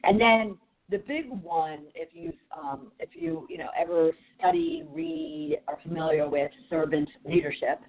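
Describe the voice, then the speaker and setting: A woman with a deep, raspy voice hosting a podcast as a leadership coach, speaking directly and challengingly with frequent fillers. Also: big pitch swings, a little slow. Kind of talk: motivational podcast monologue. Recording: tinny phone-like audio.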